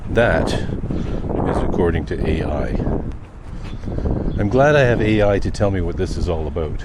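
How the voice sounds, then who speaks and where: A man giving a musing, unhurried and wry vlog monologue while out on the sidewalk. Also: low-pitched, expressive. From Canada. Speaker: daily vlogger. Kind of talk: reflective walking vlog monologue. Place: outdoors on quiet sidewalks. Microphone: handheld camera with wind muff. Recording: outdoor street noise, passing cars, wind.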